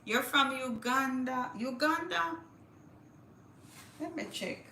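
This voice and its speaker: A woman's voice: high-pitched, takes it slowly, creaky, bright, forceful tone, reverberant, a little hiss or hum. A woman hosting a casual livestream, chatting to viewers and reading news aloud with small stumbles. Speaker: livestream host.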